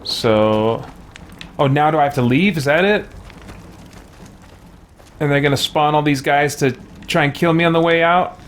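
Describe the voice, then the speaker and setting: A man hosting a gaming let's play with casual live commentary and animated, theatrical reactions. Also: a little high, normal speed, pauses often, a little hiss or hum.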